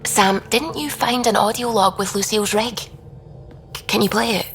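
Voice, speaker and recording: An actress playing an earnest, thoughtful woman science officer on a starship in a video game, with a measured delivery. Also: mid-range voice, a bit bright, faint music behind, natural voice. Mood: concerned.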